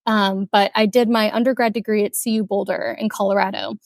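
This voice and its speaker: A young woman from Kuwait, an author talking casually in an interview, chatty with many fillers and soft laughs. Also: mid-range voice, little pitch movement, a little fast, gravelly, dry recording, clean studio sound. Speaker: author guest.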